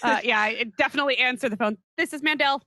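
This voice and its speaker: High, bright woman at a game table. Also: fast talker, dry recording, studio quality. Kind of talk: casual talk, partly improvised in character.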